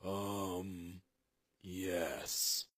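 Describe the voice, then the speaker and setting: A man's voice: deep voice, little pitch movement, very slow, a bit bright, breathy edge, no echo, clean studio sound, full character voice. A man doing a brash shameless young jock. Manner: brash, defensive. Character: brash young male boxer student, shameless.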